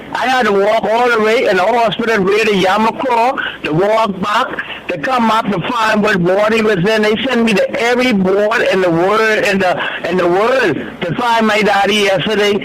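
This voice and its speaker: A man's voice: high voice, poor audio. A man with a Bahamian accent calling a radio phone-in, complaining informally in an emphatic, repetitive way.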